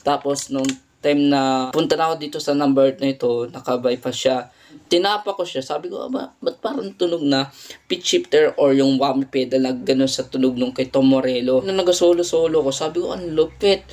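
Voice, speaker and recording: Guy vlogging about guitar gear, medium pitch voice, chatty and casual, lots of little "right?" tags. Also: moderate pace, darkish tone. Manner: conversational, frequent "no?" tags. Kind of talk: casual vlog demo, Tagalog mixed with English. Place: indoor home studio by a window.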